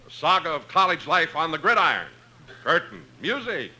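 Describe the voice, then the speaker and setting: A man's voice: a little high, sing-song, normal speed, over music, low-quality recording. An American man announcing in the manner of 1950s radio comedy. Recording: old radio recording.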